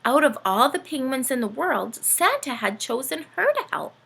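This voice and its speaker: A woman reads a storybook aloud for children. The voice is bright and very creaky, with lively swings in pitch and an engaging, expressive storytelling delivery. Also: normal speed, slight background noise. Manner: animated, asks the young listeners questions.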